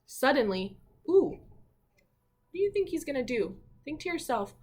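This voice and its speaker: A woman teacher reading a picture book aloud to children, expressively, with dramatic pauses and her own thoughts along the way. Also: high voice, very animated, darkish tone. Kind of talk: children's read-aloud with commentary.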